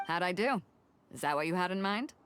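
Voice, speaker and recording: A woman's voice: lowish voice, lively intonation, fairly quick, a bit bright, a little hiss or hum. A woman playing a bold, hearty sea captain in a video game, talking casually and sociably. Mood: confident.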